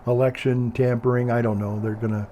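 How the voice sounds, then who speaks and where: An older man with a calm, quiet voice, chatting casually in a meandering, hesitant way and often repeating himself. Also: medium pitch, fairly quick, lo-fi sound, slight background noise. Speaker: video blogger. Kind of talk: casual rambling monologue.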